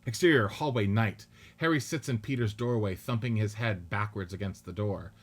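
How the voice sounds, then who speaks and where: A man narrating stage directions in a measured, matter-of-fact way during a radio play script reading. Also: fairly low pitch.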